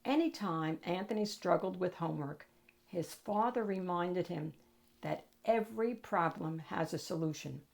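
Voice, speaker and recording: A woman narrating a children's picture book in an expressive, emphatic storytelling manner with deliberate phrasing. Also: fairly low pitch, creaky. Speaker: storybook reader, read-aloud host.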